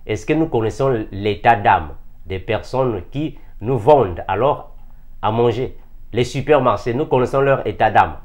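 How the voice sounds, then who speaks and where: A man's voice: medium pitch, unhurried, a little mellow. A man from Africa preaches to fellow believers, emphatic and repetitive, asking rhetorical questions. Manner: emphatic, rhetorical questions, repeats key phrases. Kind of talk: impassioned sermon addressed to fellow believers.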